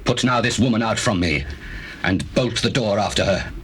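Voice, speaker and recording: A man playing a lovesick, brooding young prince in a Bible drama, speaking archaic lines slowly and theatrically. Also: fairly bright tone. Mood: cold dismissal. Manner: low, slow theatrical delivery of archaic biblical dialogue. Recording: clearly noisy old cassette tape.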